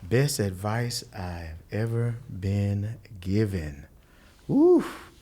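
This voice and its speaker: A middle-aged man from Louisville, a musician and guest telling stories in casual conversation. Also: very slow. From Louisville, Kentucky. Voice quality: mellowed former high singing voice. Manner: animated storyteller, quoting others with voiced impressions.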